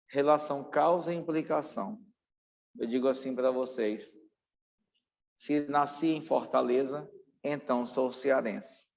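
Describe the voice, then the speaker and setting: A man's voice: mid-range voice, vocal fry, highs cut off. Brazilian man teaching, in a long reverberant room. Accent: Brazilian Portuguese. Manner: didactic, emphatic, repeats key points. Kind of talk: exam-prep logic lecture.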